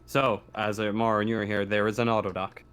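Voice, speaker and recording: A man working as game master, narrating a sci-fi tabletop roleplaying game in a halting, improvised way. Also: lowish voice, little pitch movement, a bit bright, clean tone.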